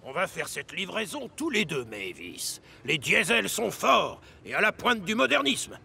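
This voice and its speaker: A swaggering male diesel engine from a kids' cartoon. He is bossy and scheming, with a high-pitched, gravelly voice that swoops around in mockery. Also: huge pitch swings, unhurried, pushed and bright, a little hiss or hum, full character voice. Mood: smug, eager. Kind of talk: animated children's cartoon dialogue.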